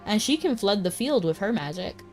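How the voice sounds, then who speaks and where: A woman game streamer with a medium-pitched voice, chatting casually and reacting playfully. She uses plenty of slang, and faint music plays behind her. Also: big pitch swings, a little fast. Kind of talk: casual running commentary on game story.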